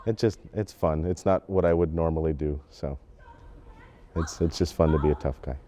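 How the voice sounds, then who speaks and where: A man's voice: rumbling low, moderate pace, slight background noise. A teenage male student actor talking plainly and casually in an interview. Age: teens, high school student.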